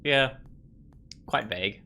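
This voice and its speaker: A man's voice: medium pitch, slow, creaky, pushed and bright. A man giving casual let's play commentary, thinking aloud in a rambling, musing way. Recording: faint game music behind.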